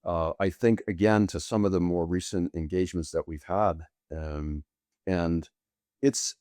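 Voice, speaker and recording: A middle-aged man, bilingual with Portuguese, answering interview questions as a guest in long, deliberate, winding sentences. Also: low voice, warm tone, no room sound, studio quality. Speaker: guest, sales executive.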